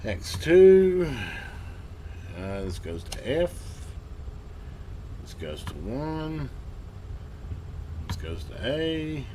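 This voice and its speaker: A man giving casual hands-on commentary as a hobbyist host, halting and muttering to himself as he works. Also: a little high, sing-song, dark tone, slight background noise. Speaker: vintage radio hobbyist hosting a repair video.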